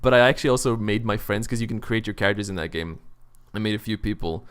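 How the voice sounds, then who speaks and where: A man's voice: lowish voice, fast talker, clean tone. A man hosting a casual gaming podcast chat, talking enthusiastically and swearing freely. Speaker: podcast host.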